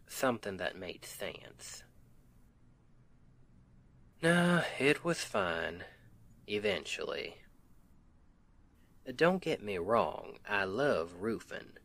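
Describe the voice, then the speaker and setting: A man's voice: fairly low pitch, very animated, extremely slow, vocal fry, pauses often, a bit bright, heavily acted. A man playing a sweet, weary Southern US husband and roofer in an intimate ASMR roleplay, with an affectionate, teasing, folksy drawl. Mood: weary, reflective. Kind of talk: intimate ASMR roleplay, one side of a conversation.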